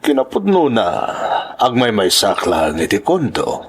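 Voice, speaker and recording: A man's voice: fairly low pitch, wildly swooping pitch, moderate pace, breathy edge, full character voice. A man with a Filipino accent playing a suave, reassuring businessman in his fifties in a radio-style drama. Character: Sebastian, rich, suave businessman past fifty, courting.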